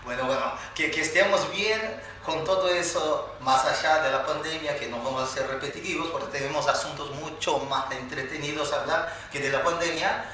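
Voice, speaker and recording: A man's voice: on the high side, fairly even intonation, normal speed, few pauses, pushed and bright, a little hiss or hum. A man from Spain hosting a live interview, asking long, rambling questions. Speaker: host. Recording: echoey room, far from mic.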